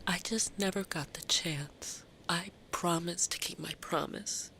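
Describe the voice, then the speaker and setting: A woman's voice: fairly low pitch, measured pace, in a whisper, rough recording. A woman playing a grieving, self-blaming but determined mother in an audio drama monologue, speaking in a raw, confessional way. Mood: hushed grief. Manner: raw, confessional, swearing, swinging from hushed to intense.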